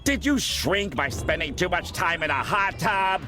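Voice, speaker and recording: A man's voice: sits high, expressive, measured pace, pushed and bright, faint music behind, full character voice. A man playing a haughty, insulting film director in a cartoon game, theatrical and full of disdain. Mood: disdainful, exasperated.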